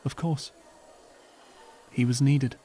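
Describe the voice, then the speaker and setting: A man narrating an audiobook as scripted storytelling, measured and earnest, with dramatic pauses. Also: medium pitch, fairly even intonation, warm tone. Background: clear background music.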